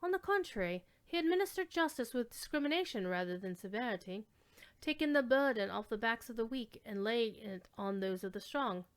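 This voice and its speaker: A woman giving a measured, theatrical dramatic reading of classic literary fiction, lingering on descriptive words. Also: on the high side, animated, smooth. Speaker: storyteller reading aloud.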